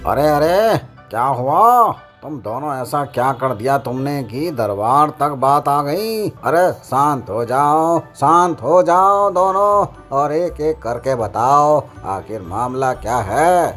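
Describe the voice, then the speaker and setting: A woman voicing a bumbling, easily amused king in a Hindi comedy cartoon, exclamatory and deliberate. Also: sits low, animated, faint music behind, exaggerated voice. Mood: puzzled, admonishing. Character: drowsy, easily amused king judging petty quarrels. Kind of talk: Hindi animated comedy acting.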